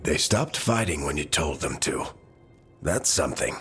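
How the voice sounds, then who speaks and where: A man's voice: rumbling low, lively intonation, moderate pace, a bit bright. An older male actor playing a weary, wry mentor in a video game, speaking dryly over music. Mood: grave, encouraging. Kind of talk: video game dialogue acting.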